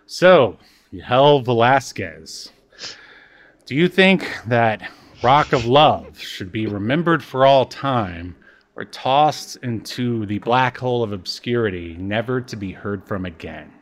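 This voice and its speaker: Male podcast host, average pitch, casual, wry, jokey delivery. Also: animated, takes it slowly. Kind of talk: casual chat.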